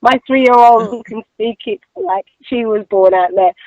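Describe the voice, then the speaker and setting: A woman's voice: fairly high pitch, a little slow, a little mellow, dry recording, highs cut off. A woman with an East London Cockney accent talking casually as an interview guest, emphatic and given to repeating herself. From East London, England. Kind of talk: casual radio interview conversation.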